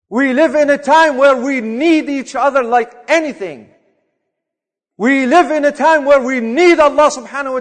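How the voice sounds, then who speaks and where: A man delivering a Friday sermon, chanting Arabic verses quickly and then translating, swinging between loud urging and quiet reflection. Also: very high-pitched. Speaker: preacher giving a Friday sermon. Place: mosque prayer hall. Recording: long hall reverb.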